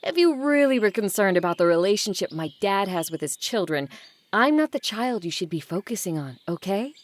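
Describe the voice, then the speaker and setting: A woman's voice: mid-range voice, very animated, brisk, natural voice. A woman playing a guarded, blunt adult daughter of a wealthy family in an audio drama, with a dry, curt way of talking. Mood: defensive, annoyed. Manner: dry, curt, bossy with siblings.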